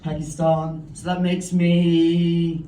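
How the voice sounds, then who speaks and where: High-voiced man doing stand-up on a club stage. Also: monotone, takes it slowly, dark tone. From London. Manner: punchy, self-mocking delivery. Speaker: stand-up comedian. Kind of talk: stand-up comedy set. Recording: roomy, distant, crowd and hum. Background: audience laughter.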